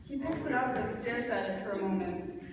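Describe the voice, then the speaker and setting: A young woman reading stage directions as narrator of a live, impromptu play reading, a little halting with corrections. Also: on the high side, animated. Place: large reverberant room at a live reading event. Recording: echoey hall from far off, noisy.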